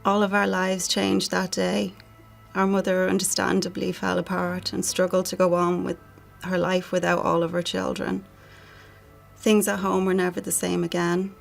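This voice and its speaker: A middle-aged Irish woman reads a prepared tribute with little rise and fall. Her voice is lowish and creaky, with soft music behind it, and her manner is solemn. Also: monotone. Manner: solemn, measured. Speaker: bereaved sister giving a family statement.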